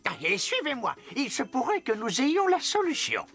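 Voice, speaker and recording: Kindly, solemn elder for Christmas cartoon, high-pitched with sweeping intonation. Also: female voice, wildly swooping pitch, normal speed, a bit bright, faint music behind, full character voice. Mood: solemn, hopeful. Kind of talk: cartoon dub acting.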